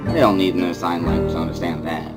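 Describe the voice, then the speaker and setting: A man's voice: low voice, steady tone, fast talker. A man giving an understated, introspective first-person dramatic reading of a visual novel as its narrator. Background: guitar music.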